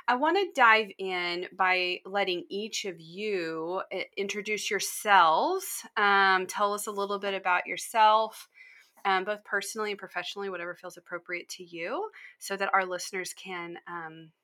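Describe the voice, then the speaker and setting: Bright-voiced woman hosting a podcast. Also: mid-range voice, fairly even intonation, normal speed. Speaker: podcast host. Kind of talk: warm, chatty podcast hosting.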